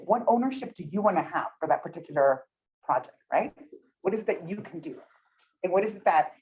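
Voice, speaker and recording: Lively chatty woman leading a workshop. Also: mid-range voice, low-quality recording. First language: Spanish. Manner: chatty, often asks "right?" to check in. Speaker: workshop facilitator. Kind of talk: interactive workshop teaching. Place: meeting room, with some people online.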